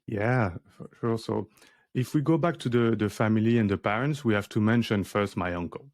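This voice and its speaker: A deep, gravelly male voice, recorded dry and close. He speaks as a career coach in a relaxed interview, often pausing on fillers and restarting his sentences. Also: dry recording. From France. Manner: hesitant, many fillers and restarts. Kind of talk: casual interview conversation.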